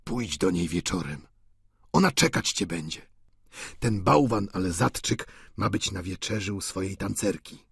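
A man voicing an old doting father in a storybook reading, low, soft and emotional. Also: expressive, measured pace, gravelly, breathy edge, no room sound, studio quality, full character voice. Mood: pleading.

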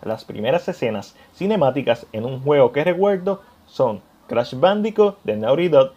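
A man presenting a scripted video essay as channel host, addressing viewers in an expressive voice-over. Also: mid-range voice, moderate pace, lo-fi sound, faint music behind.

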